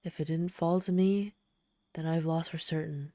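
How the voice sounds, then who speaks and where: Mellow, haughty demon woman, acted out by a woman. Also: low-pitched, steady tone, no room sound, studio quality. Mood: dismayed, flustered. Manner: haughty, theatrical, halting when embarrassed. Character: proud, flustered female demon, desperate and easily exasperated. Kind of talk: ASMR roleplay storytelling to the listener.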